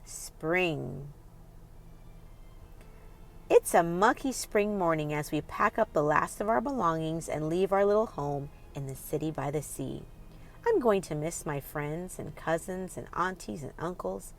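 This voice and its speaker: A woman's voice: on the low side, expressive, measured pace, a little hiss or hum. A woman hosting a children's read-aloud, reading expressively and putting questions directly to young listeners in an instructive way.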